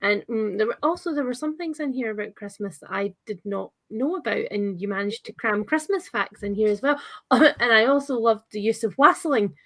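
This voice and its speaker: Woman, gushing interview host, higher pitch, creaky edge, casual live chat, bantering. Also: expressive, fairly quick, fairly bright tone, dry recording.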